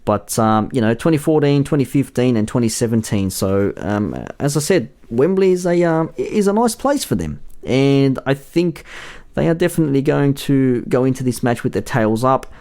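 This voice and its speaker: A young man hosting a solo football preview podcast, his voice hoarse and husky from yelling in a bar, rambling with lots of fillers and self-corrections. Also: mid-range voice, expressive, normal speed. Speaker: podcast host.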